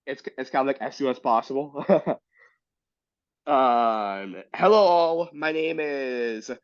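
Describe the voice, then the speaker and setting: A sick man with a raspy voice, reading a letter aloud and talking in a casual group discussion with many restarts. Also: mid-range voice, slow, no echo, muffled recording. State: sick, coughing.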